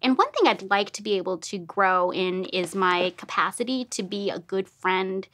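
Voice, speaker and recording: A woman's voice: mid-range voice, animated, normal speed, a bit bright. Sincere woman in her thirties. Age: 30s. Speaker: interviewee. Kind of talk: candid interview. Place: dark studio.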